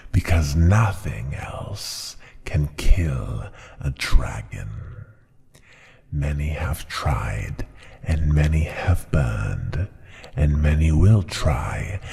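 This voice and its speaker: An extremely deep, crackling dragon tyrant for an ASMR fantasy roleplay. The creature speaks very slowly and deliberately, often dropping into a breathy whisper. It sounds proud, cruel and menacing, a ruler who looks down on every listener. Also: male voice, a little mellow, exaggerated voice. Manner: slow, deliberate, haughty and menacing.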